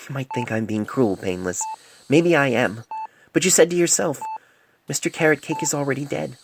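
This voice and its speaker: A man voicing a stern, grieving middle-aged female pony doctor in an audio storybook, grave and measured, on an amateur phone recording. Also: mid-range voice, voice pushed up, close to an everyday voice. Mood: grieving, resolute. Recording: noisy amateur cell phone mic recording.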